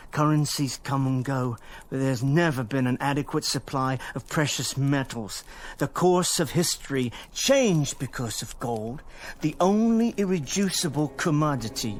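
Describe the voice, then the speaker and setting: An actor playing a pedantic, greedy and weary professor in a radio drama, stammering anxiously and talking down to others. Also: male voice, mid-range voice, animated, unhurried, lightly acted. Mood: lecturing. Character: educated older man, a greedy, weary professor turned criminal schemer. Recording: music under the voice.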